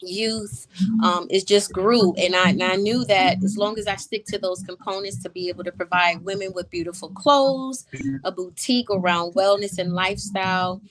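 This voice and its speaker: A woman of medium pitch answers an interviewer's questions about her ventures. She speaks with confidence and drive, in the language of corporate goals and growth. It sounds like an ordinary conversational interview. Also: fairly even intonation, moderate pace, fairly bright tone, poor audio. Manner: confident, goal-driven business talk. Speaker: guest entrepreneur.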